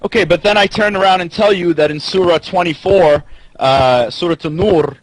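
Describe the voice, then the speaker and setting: A man with a slightly high, husky voice argues in an unscripted panel debate. He stammers and repeats himself as he pushes his point, and the sound is dry and close. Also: moderate pace, no room sound, low-quality recording. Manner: argumentative, repetitive, stammering.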